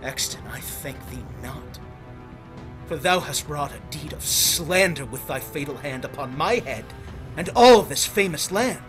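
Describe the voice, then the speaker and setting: A man playing a newly crowned king in a Shakespeare reading, formal and rising to forceful declamation. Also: a little high, lively intonation, measured pace, music in the background. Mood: regal. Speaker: actor in a staged reading. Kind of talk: Shakespeare reading over video call. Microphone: video call webcam.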